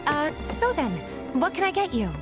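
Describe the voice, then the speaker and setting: An actress playing a friendly, caring young woman in a video game, speaking gently and conversationally with slight hesitations. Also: high-pitched, big pitch swings, normal speed. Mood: friendly, tentative. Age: young adult. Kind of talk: video game cutscene voice acting. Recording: background music under dialogue. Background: game music.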